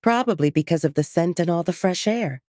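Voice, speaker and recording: A woman narrates a scripted audio drama in a slightly low voice. Her reading is animated and expressive, captured close and very dry on a studio microphone. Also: brisk, no room sound.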